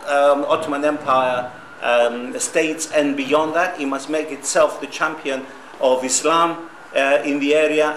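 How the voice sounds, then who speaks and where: A man giving a semi-prepared conference talk in a halting, hesitant way, with frequent pauses and repeated starts. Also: fairly high pitch, fairly bright tone. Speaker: conference speaker. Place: conference room. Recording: roomy echo with faint background noise.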